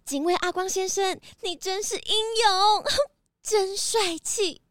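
A woman's voice: very high-pitched, slow, very noisy. A woman voicing a gushing, theatrical rich lady pelican in a children's audio drama. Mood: relieved gushing.

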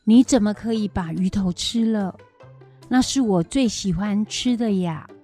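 A woman playing a kindly grandmother in a children's educational radio drama, with a husky, plain-spoken delivery. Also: mid-range voice, a little slow, dark tone, soft background music, natural voice. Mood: mock scolding. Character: kindly grandmother raising her grandchildren, fond of fish heads.

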